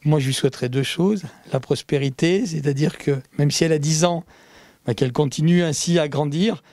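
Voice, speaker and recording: A man speaking as a local community council president in an interview, measured with occasional fillers. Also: fairly high pitch, a little mellow, no echo, clean studio sound. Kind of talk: interview statement.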